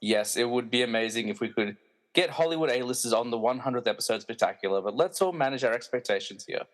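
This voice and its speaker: A man's voice: medium pitch, animated, fast. A man narrating a scripted comic radio play with wry, self-aware delivery.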